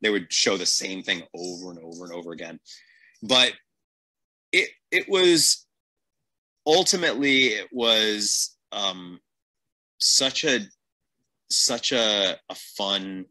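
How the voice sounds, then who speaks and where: A man's voice: on the low side, animated, takes it slowly, pauses often, bright, forceful tone. A man in casual podcast chat, telling stories and acting out reactions as he goes. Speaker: podcast host.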